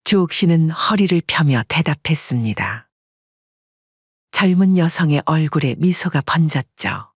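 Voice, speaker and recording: Calm, slightly husky woman narrator. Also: low voice, dry recording, muffled recording. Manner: calm, measured storytelling. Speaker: audiobook narrator. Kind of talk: scripted story narration.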